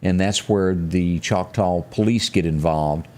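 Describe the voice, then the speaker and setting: A man hosting a live local news talk show, speaking in a halting, folksy way and repeating phrases for emphasis. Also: low voice, dark tone. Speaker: morning show host.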